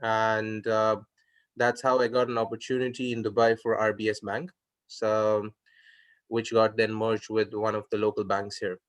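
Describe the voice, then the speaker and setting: A man's voice: on the low side, flat delivery, normal speed. Hesitant man from India. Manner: hesitant, many restarts and fillers. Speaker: interviewer. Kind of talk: casual video call conversation.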